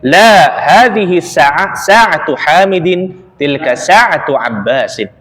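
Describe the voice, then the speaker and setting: A young man leads an Arabic class, speaking slowly in a slightly raised voice. He chats casually, laughs easily and reads each phrase carefully for students to repeat. The sound rings with a long reverberant echo. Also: fairly high pitch. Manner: relaxed and chatty, laughs often, reads Arabic lines slowly and clearly. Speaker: Arabic teacher. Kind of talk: casual online Arabic lesson, reading aloud for repetition.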